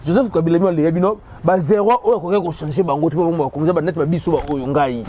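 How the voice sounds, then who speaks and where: A man from DR Congo hosting a casual football opinion talk, animated and full of repetition, switching between Lingala and French. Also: fairly high pitch, quick pace, a little hiss or hum. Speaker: sports commentator host.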